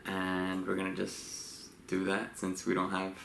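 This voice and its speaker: A man in his late twenties from Los Angeles, co-hosting a couple's Q&A vlog in a relaxed, rambling way with frequent laughs. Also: low voice, measured pace, gravelly. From Los Angeles, USA. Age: 20s. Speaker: vlogger co-host. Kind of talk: casual couple Q&A chat. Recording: echoey room with faint hiss.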